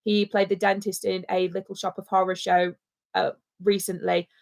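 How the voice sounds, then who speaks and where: A woman's voice: medium pitch, flat delivery, normal speed, vocal fry, darkish tone. A woman co-hosting a casual livestream chat, speaking with passion and repeating her points for emphasis. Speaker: co-host.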